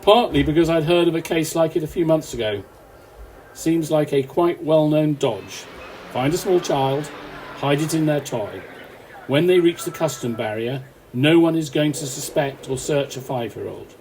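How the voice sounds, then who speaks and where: A man's voice: on the high side, normal speed, darkish tone, a little hiss or hum, natural voice, poor audio. A man acting an earnest, authoritative off-duty policeman in an amateur radio play. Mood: explanatory.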